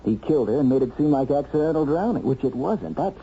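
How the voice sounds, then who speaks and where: An American actor playing a dogged, wry investigator in a radio mystery drama, probing and emphatic. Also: male voice, medium pitch, expressive, fast, dark tone, lightly acted. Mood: confident, wry. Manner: probing, hesitant lead-ins, emphatic deductions. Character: dogged, wry male investigator cracking a murder case. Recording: dull, noisy old radio recording.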